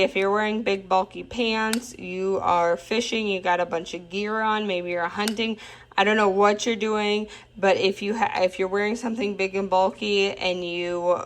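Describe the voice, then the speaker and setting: A woman with an average-pitched voice talks through a product in a relaxed, unscripted way. She sounds informal and chatty, with clear enthusiasm as she explains and demonstrates. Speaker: product reviewer.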